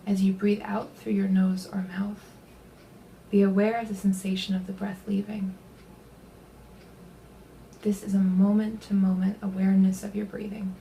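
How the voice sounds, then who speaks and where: A woman leading a guided body scan meditation, speaking gently and unhurriedly in a soothing way, with soft, breathy phrase endings. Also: mid-range voice, level tone, normal speed, pauses often, slight background noise, low-quality recording.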